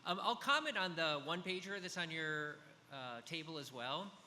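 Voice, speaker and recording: Loud bright-voiced man in his fifties. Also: a little high, lively intonation, normal speed. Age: 50s. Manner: loud, chatty, many fillers and restarts. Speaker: conference speaker. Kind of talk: business presentation. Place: large conference hall. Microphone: handheld or stand microphone. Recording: hall reverb with faint noise.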